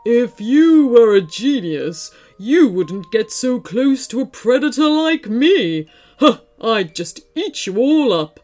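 A woman's voice: fairly high pitch, big pitch swings, takes it slowly, warm tone, soft background music, voice pushed up. A woman voicing a wry, teasing but helpful saber-toothed tiger in a children's story, with a knowing, musing drawl. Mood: teasing menace.

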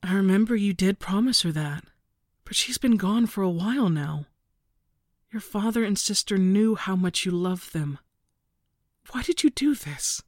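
A narrator putting on a soft-spoken middle-aged mother, gentle and hushed, her words slipping into whispers as she comforts her child. The voice sits a touch higher than the narrator's own and is recorded close and dry. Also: animated, measured pace, dry recording, close to an everyday voice. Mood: whispering.

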